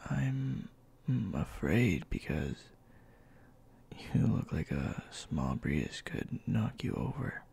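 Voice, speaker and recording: A man playing a gruff, worried and tender young boyfriend in an ASMR roleplay, speaking softly and haltingly in a breathy, gravelly half-whisper. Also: low-pitched, big pitch swings, exaggerated voice. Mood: anxious, tender. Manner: soft, halting, intimate.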